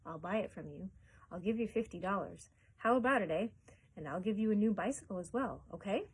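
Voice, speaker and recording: A woman's voice: medium pitch, expressive, brisk, husky, poor audio. A woman voicing a tall man who whispers a coaxing offer in a storybook reading.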